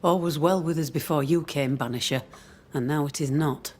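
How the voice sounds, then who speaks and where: A woman's voice: low voice, normal speed, a little mellow, husky. Stern woman settlement leader; curt, resentful, commanding, for a colonial game drama. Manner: curt, commanding, archaic phrasing.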